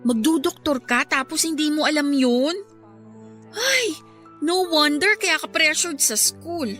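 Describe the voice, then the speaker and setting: A woman's voice: high-pitched, slow, sharp tone, heavily acted. A woman playing a frank, teasing younger sister in a Filipino radio drama, blunt and cheeky, with a Filipino accent. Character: frank, teasing teenage younger sister, wiser than her age. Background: music.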